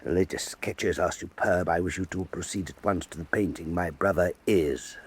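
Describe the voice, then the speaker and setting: An actor playing an imperious, effusive nobleman and art patron in a historical radio drama, declamatory and husky. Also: low voice, steady tone, moderate pace. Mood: commanding. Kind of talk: full-cast historical radio drama acting.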